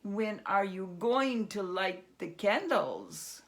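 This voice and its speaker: A woman's voice: medium pitch, animated, takes it slowly. A woman voicing a greedy, grumpy red goblin with horns, as a storybook character. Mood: impatient, curious.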